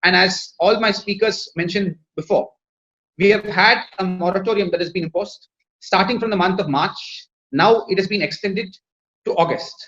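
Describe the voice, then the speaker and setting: A man with an Indian English accent, a Tamil native speaker, gives a measured, formal panel talk over a video call, pausing often on fillers. His voice sits high for a man. The sound is muffled and lo-fi. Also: bright, forceful tone. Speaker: panelist, lawyer.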